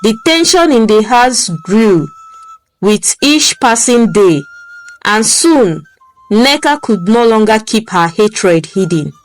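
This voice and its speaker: A woman narrating a folktale in a slow, deliberate reading voice. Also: on the high side, expressive, soft background music, low-quality recording. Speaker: storyteller. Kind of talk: scripted story narration.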